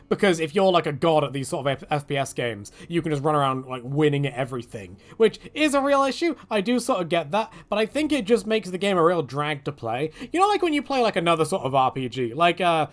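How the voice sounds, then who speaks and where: A man giving casual, rambling video game commentary in an animated way, with jokey exaggeration. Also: on the high side.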